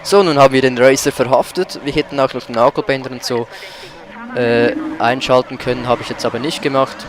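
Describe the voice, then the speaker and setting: A man's voice: medium pitch, fairly even intonation, fairly quick. A man giving casual commentary as he plays a video game. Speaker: let's play gamer. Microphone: voice-over mic over game audio. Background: racing game car sounds and music.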